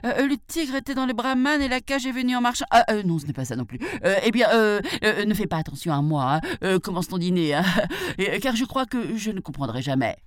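A woman's voice: lowish voice, big pitch swings, takes it slowly, no room sound, voice pushed up, heavily acted. A woman voicing a sly jackal who feigns muddled stupidity, stammering and hesitant, in a storybook tale. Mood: flustered, stammering.

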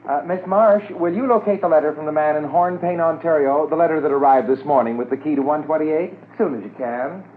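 An actor playing an obliging, talkative hotel manager in a 1950s radio drama, courteous and chatty with frequent hesitations. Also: male voice, on the high side, a little fast, hardly any pauses, natural voice. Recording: tinny old radio with faint noise.

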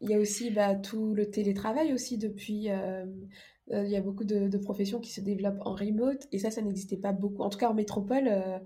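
A woman's voice: mid-range voice, fairly even intonation, quick pace, warm tone. A woman speaking casually as a podcast guest, explaining things informally with examples. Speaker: podcast guest, organisation coach. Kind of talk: casual interview conversation.

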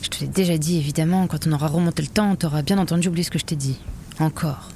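A woman's voice: sits low, quick pace, mellow, a little hiss or hum. An actress playing a disillusioned, sarcastic woman protester in a French audio drama, grumbling in a slangy, weary way. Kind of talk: French audio fiction acting.

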